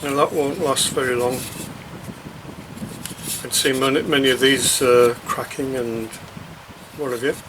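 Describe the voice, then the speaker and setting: Man, slow halting delivery, matter-of-fact, unscripted unboxing commentary, clear background noise with crinkling packaging. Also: medium pitch, fairly even intonation. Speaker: radio hobbyist presenting an unboxing.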